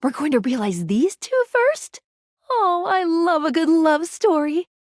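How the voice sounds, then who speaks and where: A woman's voice: very high voice, big pitch swings, unhurried, no room sound, clean studio sound, heavily acted. A woman voicing an eager, playful, romance-loving video game character.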